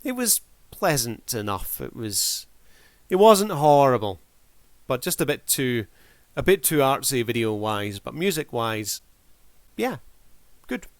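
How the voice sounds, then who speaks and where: A man's voice: medium pitch, big pitch swings, a little slow, long pauses, no room sound. A man reviews things casually for his channel in a mid-range voice. He sounds laid-back and wry, improvising as he goes. The recording is dry, with no room sound. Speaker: video reviewer and channel host. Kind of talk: casual off-the-cuff review commentary.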